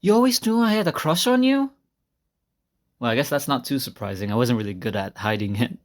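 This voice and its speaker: A man's voice: medium pitch, a little fast, close to an everyday voice. Man playing a shy, earnest teenage boy. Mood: surprised, flustered. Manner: soft-spoken, hesitant, conversational with sighs and small laughs. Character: shy, earnest high school senior boy confessing a crush. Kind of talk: intimate roleplay audio monologue to a listener. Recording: amateur recording without a proper microphone.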